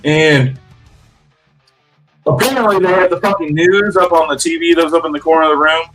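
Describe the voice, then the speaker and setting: A man's voice: a little high, a little fast, over music, rough recording. A man in his early forties in casual, profane podcast chat, rambling loosely with lots of slang. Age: early 40s. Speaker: podcast co-host.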